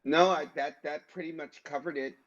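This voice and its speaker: Easygoing host on a dull lo-fi mic. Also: male voice, on the high side, fairly even intonation, fairly bright tone. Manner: easygoing, halting with fillers. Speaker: show host. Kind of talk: casual live-stream chat. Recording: dull lo-fi sound.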